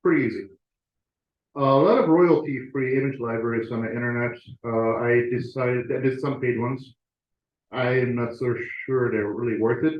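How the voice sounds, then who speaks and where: A man giving a casual talk to his club over slides, conversational, with fillers and restarts. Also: mid-range voice. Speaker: club presenter. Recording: roomy, dull lo-fi sound.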